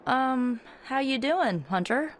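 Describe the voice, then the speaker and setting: A woman's voice: high-pitched, animated, slow pace, a little hiss or hum, poor audio. An actress playing a supportive young woman ally in a video game, talking in an informal, conversational way. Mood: awkward.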